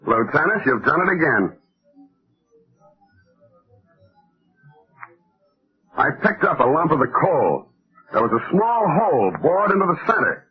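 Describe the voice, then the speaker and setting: An actor playing a wry, cynical American private detective in a deadpan 1940s radio style. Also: male voice, on the high side, fairly quick, slightly rough, faint music behind, heavily acted. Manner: sardonic, deadpan. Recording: thin, muffled vintage radio recording.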